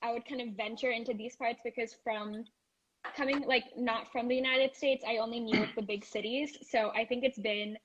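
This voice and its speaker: A woman's voice: on the high side, normal speed, lo-fi sound, a little hiss or hum. A woman who grew up in Malaysia and Thailand, guesting on a video call and talking casually with many "like"s. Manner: relaxed, uses "like" a lot. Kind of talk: casual interview chat.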